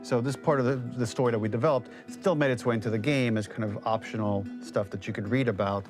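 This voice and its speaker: A man's voice: medium pitch, steady tone, fast talker. A man who works as a game developer gives informal, explanatory commentary on his work. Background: plucked guitar music.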